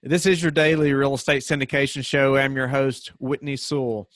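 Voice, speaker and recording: Podcast host on a video call. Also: male voice, mid-range voice, normal speed, no room sound, clean studio sound. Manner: relaxed, many fillers like "you know". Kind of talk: interview hosting. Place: home office.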